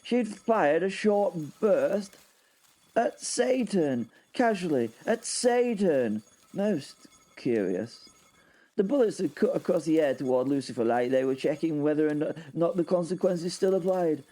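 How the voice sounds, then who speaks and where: Wry, halting man with mellow voice. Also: on the high side, expressive. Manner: halting, wry, theatrically exasperated. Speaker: narrator. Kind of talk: first-person comic audio drama narration.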